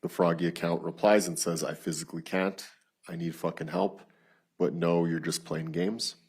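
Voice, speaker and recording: A man testifying as a detective in court, reading written messages aloud in a flat, procedural, matter-of-fact way with a husky voice. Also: low voice, moderate pace. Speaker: lead detective testifying as witness.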